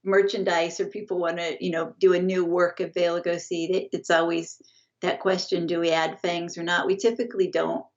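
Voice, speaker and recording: A middle-aged woman talking as an interview guest over a video call, halting and full of restarts. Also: on the low side, flat delivery. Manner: halting, many restarts and fillers. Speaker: guest, family estate representative. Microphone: video call, earbuds. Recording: dull lo-fi video call audio.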